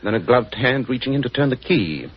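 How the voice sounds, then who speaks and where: A man playing a keen, composed gentleman detective with a British accent, speaking precisely and formally in 1940s radio style. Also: medium pitch, animated, brisk, breathy edge. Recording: dull, muffled 1940s radio recording.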